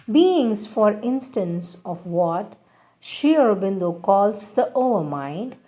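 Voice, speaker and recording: A woman reading spiritual teachings aloud in a devotional style, deliberate and emphatic, repeating phrases for emphasis. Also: mid-range voice, mellow. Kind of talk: devotional read-aloud with announced quotations.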